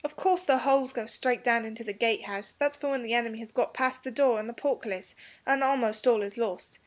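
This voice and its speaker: Woman voicing a brash young boy, raised pitch. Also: brisk, lightly acted. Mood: impatient. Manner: brash, commanding. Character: bold, bloodthirsty, stubborn young boy. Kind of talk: children's storybook character voice.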